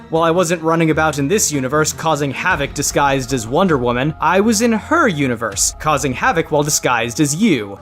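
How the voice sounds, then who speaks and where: Guy as smug scheming villain god, gloating monologue, lively pitch, music under it.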